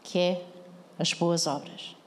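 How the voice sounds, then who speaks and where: A woman's voice: fairly low pitch, steady tone, slow pace. Portuguese lady pastor preaching, earnest, lots of questions, big echoey church hall. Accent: European Portuguese. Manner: questioning the congregation, earnest, rising to urgency. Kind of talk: sermon.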